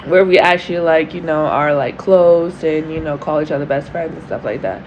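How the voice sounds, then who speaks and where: A woman with a raspy voice co-hosting a podcast, talking in a loose, casual way about faith and friendship. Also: deep voice, darkish tone, muffled recording, slight background noise. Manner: unhurried, loose, lots of "like" and "you know". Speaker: podcast co-host. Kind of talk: casual faith testimony chat.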